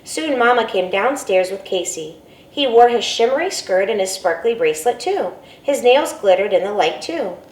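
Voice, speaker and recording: A woman, a school principal, reading a picture book aloud in an expressive storytelling way. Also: mid-range voice, moderate pace. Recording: roomy, from a distance, faint ambience.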